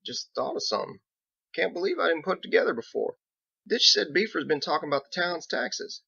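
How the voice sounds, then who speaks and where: A man's voice: a little high, very animated, fairly quick, gravelly, pushed and bright, husky, dry recording, dull audio, pitched above the performer's own voice, full character voice. A man voicing a folksy, blunt young small-town sheriff with a drawl in a storybook reading. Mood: worked up.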